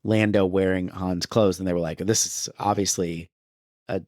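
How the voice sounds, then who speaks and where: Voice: low voice, fairly even intonation, normal speed, a little mellow, dry recording, studio quality. A podcast co-host talking casually in a conversation about movies. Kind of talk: casual movie discussion chat.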